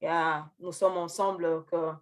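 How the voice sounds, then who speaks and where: A woman moderating an online meeting over a video call, speaking with many pauses and fillers as she thanks speakers and passes the floor. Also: fairly low pitch, level tone.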